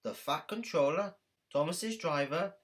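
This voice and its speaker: A woman narrating a children's story from a script in an expressive, deliberate way. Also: low-pitched. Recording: music under the voice. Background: music.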